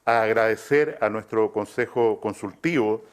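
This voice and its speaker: A man, a government official, answering a question at a press conference in a formal, halting way. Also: on the low side, clear, resonant tone.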